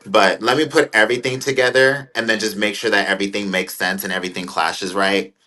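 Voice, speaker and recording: A man's voice: lowish voice, steady tone, brisk, pushed and bright. A man in his twenties talking casually as an interview guest, rambling and thoughtful with many fillers. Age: 20s. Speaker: guest content creator.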